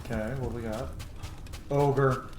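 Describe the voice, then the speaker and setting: A man's voice: medium pitch, fairly even intonation, takes it slowly, roomy sound, background noise. A man giving casual, playful gameplay commentary, muttering to himself and exclaiming, with some swearing. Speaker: gamer playing and commenting on a role-playing game.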